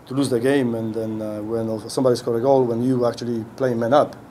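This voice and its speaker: A middle-aged man, a head coach, answering questions at a post-game press conference, speaking haltingly with many restarts as he searches for words. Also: mid-range voice, level tone, dark tone, a little hiss or hum. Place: indoor press room. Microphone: podium mic on a stand.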